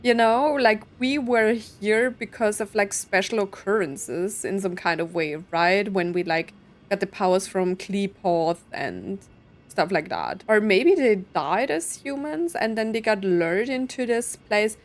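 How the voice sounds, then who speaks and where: A woman's voice: mid-range voice, expressive, measured pace. A woman giving casual let's-play commentary, thinking aloud in a puzzled, speculative way. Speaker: gaming content creator playing and reacting to a story quest.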